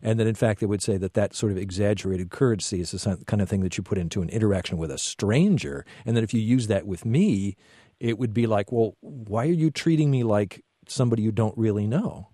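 Man, interview host, reflective, hesitant, dark mellow tone, dry sound. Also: lowish voice, a little fast, dry recording. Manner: reflective, restating ideas with hesitations. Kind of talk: interview conversation.

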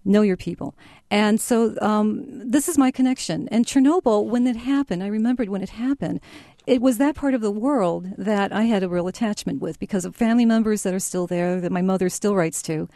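A woman's voice: medium pitch, fairly quick, dark tone, no echo, studio quality. A woman from Chicago, a novelist, telling her story in a reflective, roundabout way in a radio interview. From United States (Chicago). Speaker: novelist guest.

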